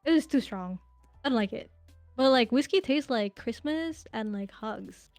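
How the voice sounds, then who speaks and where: A woman's voice: on the high side, lively intonation, moderate pace, a little mellow, no room sound, faint music behind. A woman in her twenties, a podcast guest chatting casually, telling rambling stories with lots of fillers and giggles. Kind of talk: casual interview chat.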